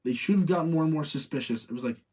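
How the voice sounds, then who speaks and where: A man's voice: fairly high pitch, racing, a little mellow, slightly rough. A man chatting casually as a livestream host, giving opinionated, rambling commentary and repeating his points for emphasis. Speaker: livestream host reviewing movies.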